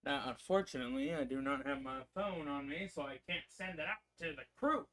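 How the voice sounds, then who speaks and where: A man's voice: on the high side, sing-song, fairly quick, vocal fry. A man with a stuffy head cold giving rambling, casual commentary as he streams a game. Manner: rambling, loose and chatty, with frequent restarts. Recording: loud game music behind voice.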